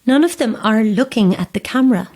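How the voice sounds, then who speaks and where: An actress playing a weary, grieving mother, guarded and uneasy, speaking in a subdued, halting way in a horror audio drama. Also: fairly high pitch, animated, a little mellow, slightly rough.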